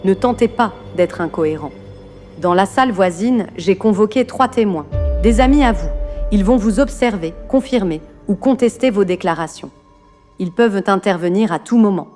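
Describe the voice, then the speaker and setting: A woman's voice: mid-range voice, normal speed, mellow, soft background music, close to an everyday voice. A synthetic woman's voice playing a cold female intelligence-agency AI interrogator, formal and commanding, with a slight British accent. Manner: formal, clipped, commanding announcements.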